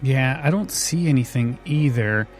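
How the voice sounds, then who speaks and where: A man's voice: mid-range voice, a little slow, faint music behind. A man hosting a podcast in a casual, chatty style. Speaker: podcast host.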